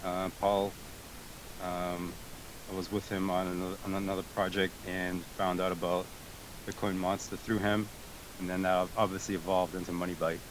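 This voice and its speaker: A man's voice: low voice, flat delivery, moderate pace, background noise. A man born in Greece answering interview questions over a voice chat, hesitant, with many fillers and restarts. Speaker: guest, tech support team member. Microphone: remote voice chat call.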